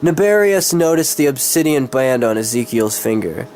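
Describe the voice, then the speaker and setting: A man's voice: mid-range voice, expressive, moderate pace, a little mellow. Guy reading fantasy dramatically while sick, keeps getting tongue-tied n swearing, bit of noise. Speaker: amateur reader of his own fantasy story. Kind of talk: dramatic reading with casual asides.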